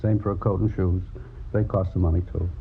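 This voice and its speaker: Noisy old radio show, laconic american police lab guy, lowish voice, short plain sentences. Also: little pitch movement, fairly quick, gravelly. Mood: matter-of-fact. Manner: casual, clipped, matter-of-fact. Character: laconic male police crime lab technician. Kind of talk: 1950s radio crime drama acting. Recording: tinny, noisy vintage radio recording.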